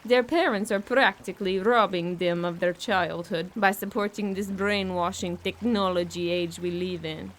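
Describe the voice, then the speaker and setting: A woman's voice: medium pitch, fairly even intonation, moderate pace, hardly any pauses, a little hiss or hum, close to an everyday voice, low-quality recording. A young woman acting a blunt, resentful au pair in an audio horror drama. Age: young adult. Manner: blunt, complaining.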